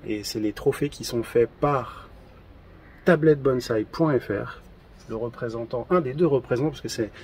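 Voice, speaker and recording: A man in his thirties presenting trophies outdoors in a garden, in a relaxed, hesitant casual chat with many fillers. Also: medium pitch, normal speed, husky. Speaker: event organizer presenting trophies.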